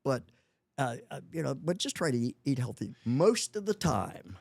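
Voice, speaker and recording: A man's voice: medium pitch, very animated, normal speed, vocal fry, slightly rough, no room sound, clean studio sound. A man in his eighties, a guest in a casual interview, talking with many restarts and chuckling often. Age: 80s.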